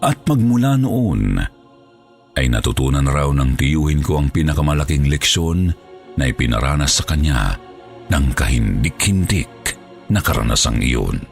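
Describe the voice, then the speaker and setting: A man's voice: a bass voice, very animated, measured pace, creaky. A man narrating a scripted horror story in a dramatic, solemn manner. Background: background music.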